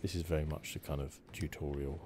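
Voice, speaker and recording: A man giving relaxed ASMR game commentary, musing and rambling with a hesitant delivery. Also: a bass voice, a little fast, background noise. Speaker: gaming channel host.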